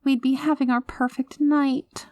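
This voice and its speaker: An anxious, clingy girlfriend acted by a woman in an intimate audio roleplay. Her voice sits fairly high and is emotive, sliding between flustered affection and teasing, possessive threats. The sound is very dry, with hardly any room to it. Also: little pitch movement, unhurried, darkish tone, dry recording. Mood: tearful, guilty. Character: anxious, clingy, doting reformed yandere girlfriend, playfully threatening.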